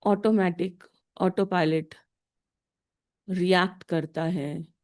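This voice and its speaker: Woman, Indian accent, meditation guide, unhurried pace, lowish pitch, short deliberate phrases with pauses. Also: fairly even intonation, darkish tone. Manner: slow, deliberate, instructional, pausing between short phrases.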